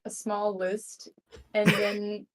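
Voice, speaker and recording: A woman's voice: medium pitch, level tone, drawn-out words, poor audio. A woman chatting casually as a player in a tabletop roleplay. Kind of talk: casual chat.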